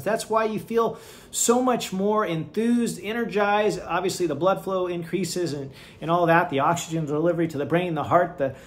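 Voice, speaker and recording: Upbeat man explaining health, fairly high voice. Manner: enthusiastic, chatty, asks "right?" often; moving around and lifting weights while talking. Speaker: podcast host, physician. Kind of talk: casual health podcast explanation. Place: home office at a standing desk.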